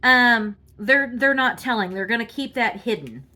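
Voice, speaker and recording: A woman reading tarot cards in a casual, halting monologue full of fillers, sounding congested from a cold. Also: on the high side, pushed and bright. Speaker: tarot reader.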